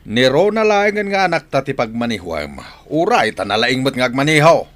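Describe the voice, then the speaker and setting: A man's voice: mid-range voice, big pitch swings, normal speed, a bit bright, no echo. A man playing a lazy, dismissive husband and father in an Ilocano radio comedy-drama, grudging in the way he answers.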